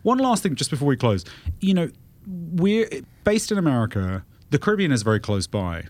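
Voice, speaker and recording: A man guest-hosting a podcast discussion, talking quickly and with animated enthusiasm in a studio. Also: a little high. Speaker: guest host, travel editor.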